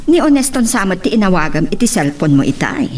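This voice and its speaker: An actress plays a haughty, scheming madam in a radio drama. Her pitch swings widely as she speaks, full of suspicion. There is faint noise in the background. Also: very animated, a little fast, darkish tone, natural voice. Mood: suspicious, sly. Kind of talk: Ilocano radio drama acting.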